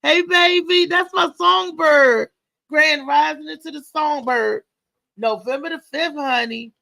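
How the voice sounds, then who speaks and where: A woman hosting a casual live show as a comedian, talking in a loud, lively, chatty way with lots of exclamations. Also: high-pitched, measured pace, gravelly. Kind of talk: casual live-stream chat and promotion.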